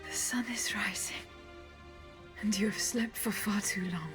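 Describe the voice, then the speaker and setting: Woman acting a devoted automaton bodyguard, female, dramatic, slow delivery, music behind. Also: medium pitch, close to an everyday voice. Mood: tender, breathy. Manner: dramatic, earnest, deliberate.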